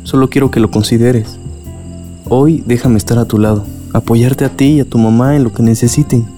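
A warm, relaxed male voice from an actor plays a tender sweetheart. He pleads gently and apologetically at a middle pitch, in a radio play over faint music. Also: moderate pace, lightly acted. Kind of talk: radio drama acting.

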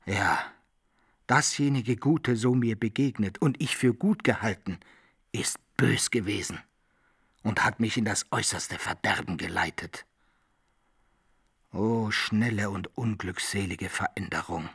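An actor playing a rueful, boastful adventurer in a radio drama monologue, declamatory and husky, in archaic wording. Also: male voice, fairly low pitch, a little slow, a bit bright, dry recording, clean studio sound, exaggerated voice. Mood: bitter lament. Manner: declamatory, theatrical. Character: rueful boastful adventurer telling his own misfortunes.

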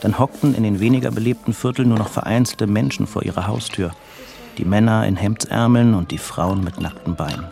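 A man's voice: on the low side, fairly quick, creaky, a little mellow. A man narrating a radio play in a literary, restrained and introspective way, with music behind him. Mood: measured, reflective. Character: restrained, introspective male narrator of a crime novel.

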